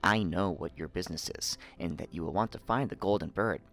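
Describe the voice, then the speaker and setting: A man's voice: low-pitched, fairly quick, a bit bright. A man voicing a cunning fox from a storybook, a wise helper who gives counsel in an instructive, commanding manner. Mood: urging. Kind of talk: storybook character voice.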